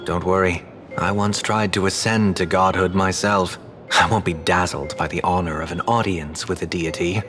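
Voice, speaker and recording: Courteous refined game gentleman, low pitch, formal, reflective, measured phrasing, music behind. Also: fairly even intonation. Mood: calm, reflective. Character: composed, courteous, refined man who once sought godhood. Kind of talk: video game character dialogue.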